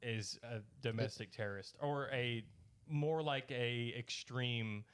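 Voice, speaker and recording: A man rambling through a story in casual podcast chat, halting as he searches for words. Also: medium pitch, fairly bright tone, clear, resonant tone. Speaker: podcast host.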